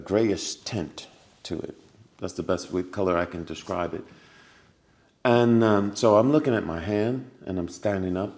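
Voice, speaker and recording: A man, a podcast guest, tells a personal story in casual conversation. He acts out the scenes with sound effects and laughter, and drops to a hushed voice for remembered lines. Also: deep voice.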